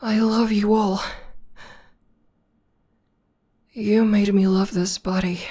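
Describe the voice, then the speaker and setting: A woman playing a tough tomboy demoness who is a devoted lover, speaking softly and haltingly in an intimate, breathy ASMR roleplay. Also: mid-range voice, flat delivery, close to an everyday voice. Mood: emotional, adoring. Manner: soft, breathy, halting and earnest delivery, often dropping to whispers.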